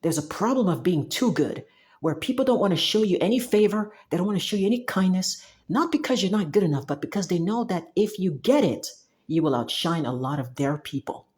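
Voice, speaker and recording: Low-voiced animated woman. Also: brisk. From Cameroon. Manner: animated and emphatic, with frequent restarts and laughs. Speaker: software developer talking to camera. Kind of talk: casual monologue.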